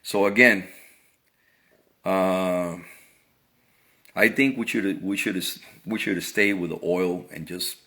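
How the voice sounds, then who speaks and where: Middle-aged man from Cuba, deep voice; earnest off-the-cuff opinion piece, restarts phrases. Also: steady tone, slow, pauses often, bright, forceful tone. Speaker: vlogger. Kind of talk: casual opinion monologue.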